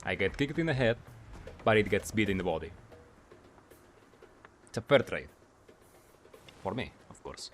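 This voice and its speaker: A man's voice: fairly low pitch, animated, unhurried, long pauses, faint music behind. A man doing gameplay commentary as a video creator, explaining in short clipped phrases and often asking himself questions before answering them.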